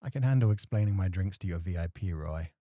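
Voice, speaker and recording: A man playing a courteous, gently teasing club host in a soft, flirty ASMR roleplay, suave and polite. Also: sits low, expressive, fast, no room sound, studio quality. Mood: polite, playful.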